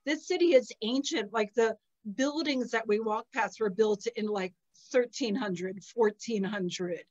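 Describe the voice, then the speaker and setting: A middle-aged woman on a video call from an outdoor terrace, a little sniffly, chatting casually and telling animated stories with frequent laughter. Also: on the high side, a little slow, dull audio. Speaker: psychic channel host. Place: outdoor terrace with potted plants. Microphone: laptop webcam on a video call.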